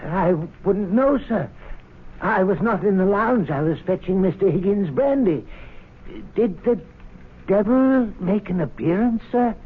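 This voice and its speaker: An actor playing a proper, deferential club servant in a radio drama, formal and polite. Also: male voice, sits high, lively intonation, a little slow. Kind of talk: 1970s radio drama acting. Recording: noisy, tinny old radio broadcast.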